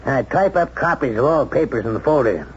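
A man's voice: mid-range voice, expressive, a little fast, natural voice. Actor as a brash, swaggering radio drama boss man. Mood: brisk. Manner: commanding, teasing, cynical. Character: brash, domineering high-stakes businessman, sardonic boss. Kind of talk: 1970s radio drama acting. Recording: muffled 1970s radio broadcast, some hiss.

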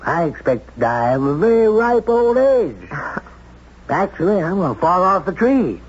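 A man's voice: sits high, big pitch swings, unhurried, full character voice. Brash, swaggering radio drama boss man. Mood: wry bravado. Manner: commanding, teasing, cynical. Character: brash, domineering high-stakes businessman, sardonic boss. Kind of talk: 1970s radio drama acting. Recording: muffled 1970s radio broadcast, some hiss.

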